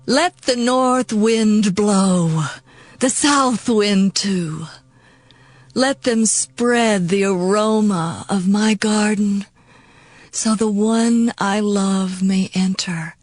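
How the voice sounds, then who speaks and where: Casting: lovesick young bride, Bible audio drama, intimate and very breathy, slow delivery. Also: female voice, mid-range voice, no echo. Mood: longing. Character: yearning young bride longing for her beloved. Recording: soft music behind the voice.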